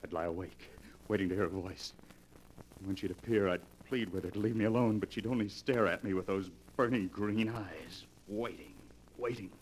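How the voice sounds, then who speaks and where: A man acting a haunted young bridegroom with a low, deep voice. He confesses his fears with intense drama, in the style of a 1940s radio thriller. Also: moderate pace, slight background noise, close to an everyday voice, poor audio. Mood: haunted, desperate. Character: haunted, fearful young bridegroom recounting his torment to a doctor.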